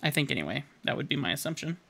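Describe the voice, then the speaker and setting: A man's voice: mid-range voice, big pitch swings, very fast, vocal fry, sharp tone. A man in his thirties streaming live as he paints, rambling in a self-deprecating way and chuckling often, talking into a headset in a home studio. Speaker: caricature artist streaming. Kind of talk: casual live-stream narration while painting. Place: home studio room indoors.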